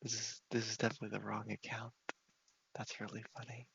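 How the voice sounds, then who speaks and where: A man softly narrating his gameplay ASMR-style into a headset microphone in a casual, unhurried, rambling way, at times dropping to a whisper. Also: lowish voice, completely flat delivery, gravelly, dull audio, slight background noise. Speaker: host of a gaming channel. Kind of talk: soft-spoken ASMR gameplay commentary.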